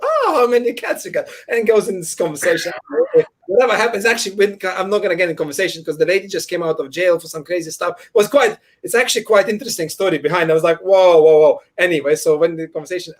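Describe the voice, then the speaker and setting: High, swooping, fast-talking man. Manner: acts out dialogue while storytelling. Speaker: podcast panel member. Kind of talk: casual comic anecdote.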